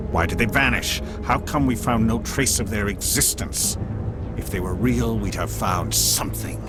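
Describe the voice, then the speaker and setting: A man's voice: lowish voice, close to an everyday voice. An actor playing a stiff, skeptical male alien councilor who speaks formally and dismissively, with game music behind.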